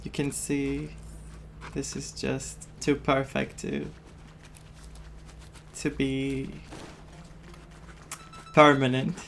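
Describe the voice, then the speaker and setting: A woman's voice: a bass voice, completely flat delivery, extremely slow, creaky, pauses often, slight background noise. A woman gaming streamer giving casual commentary on a playthrough.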